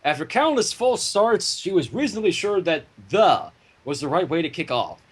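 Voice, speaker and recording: A man reading a story theatrically on a livestream, breaking off into casual banter, with a husky voice. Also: high-pitched, very animated, normal speed. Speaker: fan fiction reader and livestream host.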